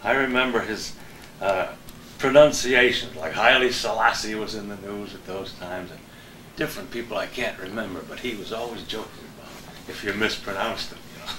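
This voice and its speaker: A man's voice: on the low side, normal speed, sharp tone, slight background noise. An older man reminiscing casually in a folksy, agreeable way. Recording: distant mic in echoey room.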